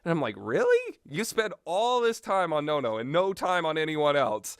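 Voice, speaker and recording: A man's voice: a little high, huge pitch swings, normal speed, clean tone, no room sound, studio quality. A man giving casual, rambling commentary with strong opinions and an emphatic way of talking. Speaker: video host.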